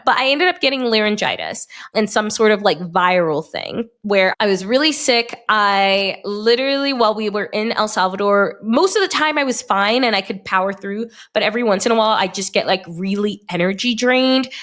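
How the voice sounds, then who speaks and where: A woman's voice: medium pitch, fairly bright tone. A young woman hosting a solo podcast in a casual, chatty way, with emphatic wording and girly slang. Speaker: podcast host.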